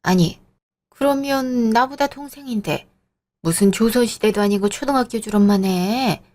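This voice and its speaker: A woman's voice: fairly high pitch, moderate pace, darkish tone, lightly acted. A woman voicing a snobbish, condescending mother-in-law in her sixties who lectures in an audio drama. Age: 60s.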